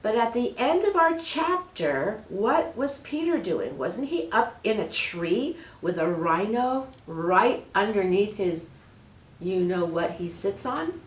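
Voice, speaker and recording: A woman reading a children's story aloud in an expressive, animated storytelling style, addressing young listeners directly. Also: medium pitch, slow, slight background noise. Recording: roomy, echoing room.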